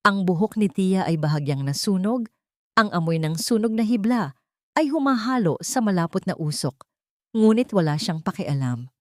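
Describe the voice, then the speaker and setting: A woman's voice: medium pitch, lively intonation, moderate pace, no echo, clean studio sound. A woman narrating a melodrama audiobook in a dramatic, emotive storytelling manner.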